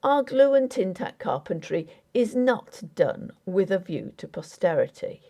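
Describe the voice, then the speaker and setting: A woman's voice: mid-range voice, sing-song, measured pace, creaky, warm tone, full character voice. Woman as a haughty, emphatic Victorian squire. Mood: dryly condescending. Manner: formal, declamatory, emphatic.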